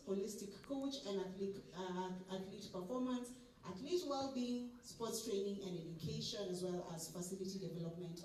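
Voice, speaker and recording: A woman's voice: medium pitch, a little mellow, slight background noise. A woman moderating a conference session, introducing speakers with filler words and restarts. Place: large conference hall. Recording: echoey hall from far off.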